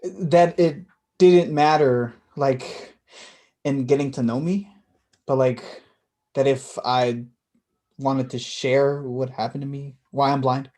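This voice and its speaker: A man's voice: medium pitch, fairly even intonation, slow pace, warm tone, close to an everyday voice. A man acting a reflective blind young man in a staged play reading, hesitant and conversational. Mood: tentative, gentle.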